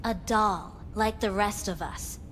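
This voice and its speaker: A bored female club worker from a video game, dismissive and unhurried. Her mid-to-high voice sounds in a slightly reverberant room. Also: a bit bright. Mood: irritated, offhand. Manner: dismissive, offhand. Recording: echoing room, faint background noise.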